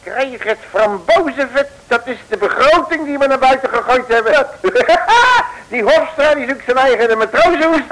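High-pitched, blustering comic detective. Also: male voice, moderate pace, a bit bright, poor audio. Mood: gleeful. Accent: Dutch from the Netherlands. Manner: scolding, blustering, colourful mock curses. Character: excitable, bumbling, grumpy male detective. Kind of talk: 1950s-60s comic radio serial acting. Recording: vintage mono radio recording.

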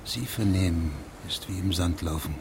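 An actor playing a grave, persistent investigating judge in a German radio play, questioning insistently in a husky, breathy voice. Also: male voice, deep voice, moderate pace, background noise, close to an everyday voice. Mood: stern, probing.

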